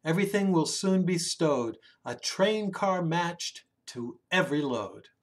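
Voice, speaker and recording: A man reads a children's picture book aloud expressively, drawing out words and hushing the ends of phrases. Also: a little high, expressive. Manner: dramatic, with drawn-out words and hushed phrase endings. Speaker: children's story reader. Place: small room indoors at home.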